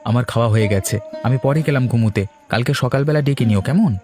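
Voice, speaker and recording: Man playing a fast-talking, practical village brother. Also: medium pitch, darkish tone, faint music behind, close to an everyday voice. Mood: businesslike. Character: hardworking village brother in fish business, brisk, practical. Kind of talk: cartoon character dialogue.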